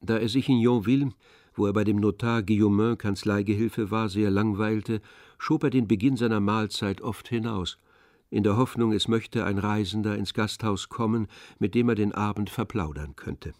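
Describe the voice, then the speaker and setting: A man narrating a literary radio drama from a script in a measured, descriptive way. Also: lowish voice, darkish tone, no echo, studio quality.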